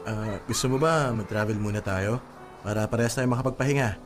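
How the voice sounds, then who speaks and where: Radio drama actor as young groom-to-be: brisk pace, Filipino accent, reasonable yet exasperated, faint music behind. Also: male voice, on the low side, natural voice. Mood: easygoing. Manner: questioning, pleading. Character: practical, accommodating young groom-to-be, easily exasperated.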